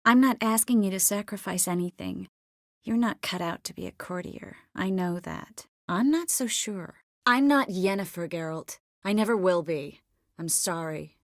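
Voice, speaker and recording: An actress voicing a young sorceress in a video game, gentle, earnest and determined, with a measured, expressive delivery. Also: female voice, medium pitch, no echo, studio quality, close to an everyday voice. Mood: regretful, serious. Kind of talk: video game character voice lines.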